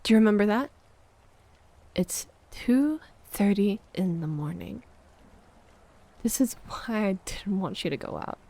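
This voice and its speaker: A woman playing a hurt, needy, accusing girlfriend in an intimate audio roleplay, moving between sharp reproach and hushed murmurs. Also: mid-range voice, lively intonation, measured pace, long pauses. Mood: reproachful, pleading. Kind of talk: intimate audio roleplay monologue, dramatic acting.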